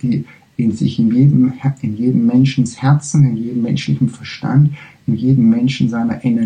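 A man giving a reflective spiritual lecture, halting and searching for words, with frequent restarts, in a language he says he does not speak fully fluently. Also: mid-range voice, mellow, rough recording.

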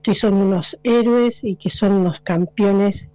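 A woman from Neuquén cheers fervently in a voice message sent to a radio show. Her voice is mellow and the sound is dry and close. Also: medium pitch, measured pace, no echo, low-quality recording. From Neuquén, Argentina. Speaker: caller.

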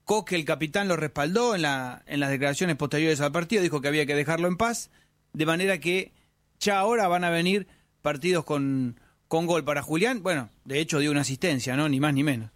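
A man's voice: on the high side, a little fast, a bit bright, no room sound. A man giving conversational football analysis as a sports commentator.

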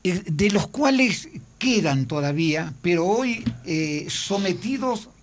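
A man with an Argentine Spanish accent, a guest explaining logos and history in a measured way. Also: high voice, expressive, noisy background. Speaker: tourism guest. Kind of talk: casual radio chat.

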